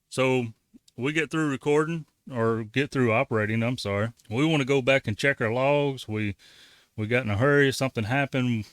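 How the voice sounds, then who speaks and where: A man's voice: medium pitch, fairly bright tone, no room sound. A man giving a casual, folksy how-to walkthrough as the host of a hobby tutorial. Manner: folksy, colloquial. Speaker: ham radio tutorial host.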